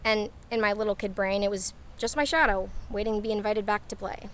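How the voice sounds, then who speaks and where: A woman's voice: mid-range voice, little pitch movement, brisk, a bit bright, slight background noise, natural voice. An actress playing a wary, candid young American woman from a small town in an audio drama, in a conversational style. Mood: candid, aggrieved. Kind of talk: naturalistic audio drama dialogue.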